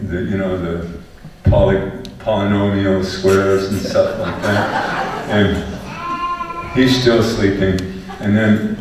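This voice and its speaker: An older man with a lowish voice tells a classroom story to a panel audience, stumbling and restarting as he goes. He is picked up from a distance in a very echoey hall. Laughter from the audience comes through behind him. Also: a little hiss or hum. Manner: chatty anecdotes with many restarts. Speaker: panel moderator, former teacher.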